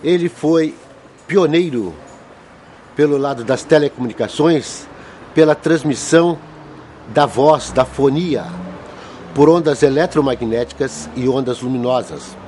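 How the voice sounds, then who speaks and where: A man's voice: medium pitch, a little slow, pauses often, mellow. A man, speaking emphatically as an interviewee outdoors near traffic. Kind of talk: interview recollection.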